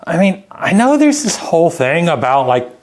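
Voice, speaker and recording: A woman's voice: fairly low pitch, big pitch swings, normal speed, a little mellow. A woman playing a bossy, evasive newspaper editor in a satirical sketch, hedging and insistently repeating herself. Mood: insistent spin.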